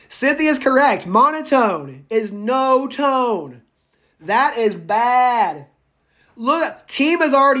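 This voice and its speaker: A raspy-voiced male public speaking instructor lecturing a live online class, speaking loudly without a microphone, chatty and prone to tangents. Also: very high-pitched. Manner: speaks loudly, projecting as if shouting; enthusiastic, goes off on tangents and laughs. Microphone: no microphone, voice caught by the room recorder.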